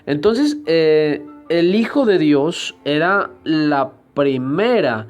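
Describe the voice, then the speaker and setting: A man giving a church history lesson in a deliberate, explanatory way, often pausing with hesitation fillers. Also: on the high side, animated, soft background music. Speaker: Bible teacher.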